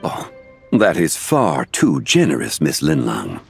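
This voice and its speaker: A man voicing a calm, courteous, learned gentleman in a video game, speaking in a measured, formal way. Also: rumbling low, creaky, exaggerated voice. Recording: faint music behind the voice. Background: soft game music.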